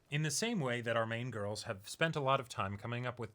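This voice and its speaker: A man's voice: medium pitch, lively intonation, moderate pace, pushed and bright. A man in a casual discussion as a co-host, talkative and explaining his points as he goes. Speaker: co-host of an anime reaction discussion.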